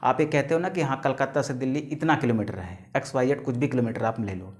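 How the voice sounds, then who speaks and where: A man in his thirties teaching biology, who calls his students "beta", asks them questions and answers them himself, and stretches terms out word by word. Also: mid-range voice. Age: 30s. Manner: stretches key English terms out slowly, word by word. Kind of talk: classroom lecture to students.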